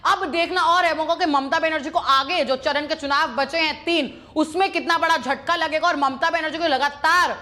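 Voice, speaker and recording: A woman's voice: high-pitched, fairly even intonation, moderate pace, few pauses, pushed and bright. A woman presenting opinionated news commentary in an emphatic, accusatory, repetitive way.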